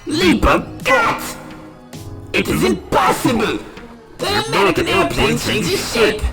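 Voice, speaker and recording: Wildly swooping German wartime villain. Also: male voice, very high-pitched, huge pitch swings, measured pace, exaggerated voice. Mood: shocked outrage. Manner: taunting, melodramatic, sneering. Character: gloating, theatrical Nazi pilot villain, adult man. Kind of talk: animated adventure villain acting. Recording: loud music underneath.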